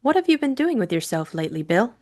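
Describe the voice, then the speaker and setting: A woman voicing a brisk, sardonic young upper-class Englishwoman in an audiobook, with a dry, sarcastic manner. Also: a little high, big pitch swings, darkish tone, voice pushed up. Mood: polite, sardonic. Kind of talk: audiobook character acting.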